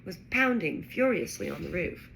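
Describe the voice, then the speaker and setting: A woman narrating a children's fantasy story aloud in an expressive reading style. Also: on the low side, moderate pace, vocal fry, a little hiss or hum, poor audio. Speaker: audiobook-style reader.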